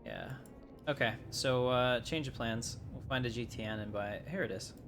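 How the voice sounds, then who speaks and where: A man's voice: mid-range voice, normal speed, a bit bright, faint music behind. A man giving casual, easygoing live gameplay commentary, thinking aloud as he plays. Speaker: gaming streamer.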